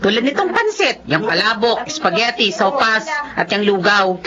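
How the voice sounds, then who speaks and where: A woman's voice: medium pitch, sing-song, moderate pace, natural voice. A woman with a Filipino accent playing a friendly, satisfied regular customer in a radio drama, chatty and approving. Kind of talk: Tagalog radio drama acting. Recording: dull track with crowd chatter.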